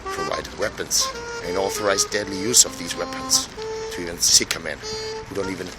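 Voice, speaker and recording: Austrian male, native German speaker, for solemn declamatory speech. Low register with huge swings in pitch, halting, repetitive emphasis. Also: wildly swooping pitch, loud music behind the voice. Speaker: speaker in a self-made video statement. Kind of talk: impassioned declamatory monologue. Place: outdoors on a city square.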